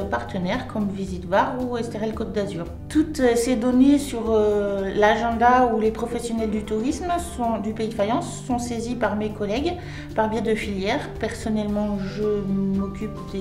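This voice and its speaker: A woman presenting her work at a tourist office for a promotional video. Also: mid-range voice. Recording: roomy echo with clear music behind.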